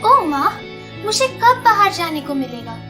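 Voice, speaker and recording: Sheltered young fairy-tale girl: wistful, pleading, extremely high, cartoon style. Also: very animated, a little slow, over music, full character voice. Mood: sad, longing. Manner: sad, pleading.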